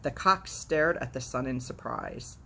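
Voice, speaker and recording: A woman's voice: very deep voice, expressive, measured pace, vocal fry, fairly bright tone. A woman hosting storytime, reading expressively and dropping in playful, sardonic, chatty asides. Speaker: storytime host. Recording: faint background noise.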